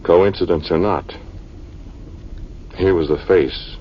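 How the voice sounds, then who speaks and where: An actor playing a level-headed archaeologist who narrates an eerie tale in measured, suspenseful 1940s radio drama style. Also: male voice, low voice. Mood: brooding, ominous. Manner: measured, brooding narration; brisk commands in dialogue. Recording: muffled, noisy 1940s radio recording.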